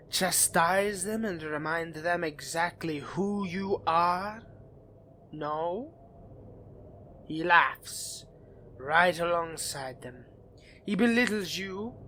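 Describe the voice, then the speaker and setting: A man's voice: fairly high pitch, bright, forceful tone, slight background noise, poor audio. A man playing a suave, scheming vampire aristocrat in a dramatic roleplay addressed to the listener, with courtly, haughty, theatrical phrasing. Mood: sympathetic, coaxing, then scornful, indignant.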